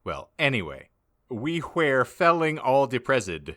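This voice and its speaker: A man narrates a story for comedy in a theatrical, heavily emphatic way. His voice is bright and very animated in pitch. He takes his time over the sentences. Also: takes it slowly. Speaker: podcast host reading aloud. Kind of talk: mock-dramatic comedic reading of fan fiction.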